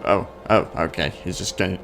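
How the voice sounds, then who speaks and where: A man's voice: deep voice, a little fast, vocal fry, a bit bright, soft background music. A man giving casual, playful and sarcastic commentary while playing a video game. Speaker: gaming video host.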